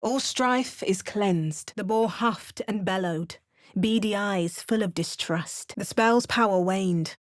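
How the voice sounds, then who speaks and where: A woman plays a wry female game adventurer at a mid-range pitch. She gives understated remarks and calm storybook narration. It is recorded close and dry in a studio. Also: takes it slowly, no room sound, natural voice. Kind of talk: video game voice acting. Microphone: close studio mic.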